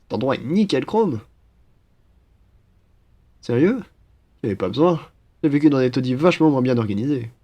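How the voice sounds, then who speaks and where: A man's voice: medium pitch, expressive, moderate pace, long pauses, warm tone, natural voice. A young man playing an upbeat, cheeky student roommate in a French roleplay, with a playful, slangy, enthusiastic manner. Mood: casual, friendly. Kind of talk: casual French roleplay acting.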